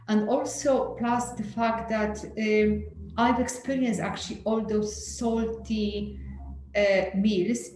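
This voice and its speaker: A woman's voice: mid-range voice, darkish tone. A woman from Poland, speaking in a candid, hesitant way with frequent fillers and restarts, as a guest in a group talk over a video call. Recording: echoey room with faint ambient noise.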